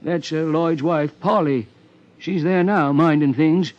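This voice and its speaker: A man's voice: fairly high pitch, slow, darkish tone. A man playing a fussy, folksy American small-town sheriff and barber, rambling and hesitant, in 1950s radio character style. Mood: folksy, musing. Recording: dull old 1950s radio broadcast recording.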